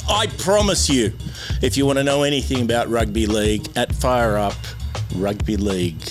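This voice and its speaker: Promo voice: mid-pitched man, joking advertisement delivery, clear music bed. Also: normal speed. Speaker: podcast promo presenter. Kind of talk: jokey podcast advertisement.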